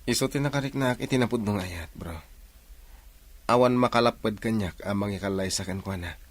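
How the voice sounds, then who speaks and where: A man's voice: fairly low pitch, brisk, dry recording, close to an everyday voice. A man playing a steadfast, lovestruck young worker in a radio drama, with an earnest manner.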